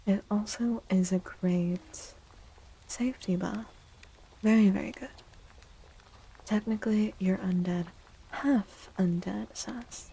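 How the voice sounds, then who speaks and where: A woman livestreaming soft-spoken ASMR chat in a playful, unhurried way, with a breathy, often whispery voice close to the mic. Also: mid-range voice, low-quality recording. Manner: playful, unhurried, repeats phrases. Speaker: livestream host.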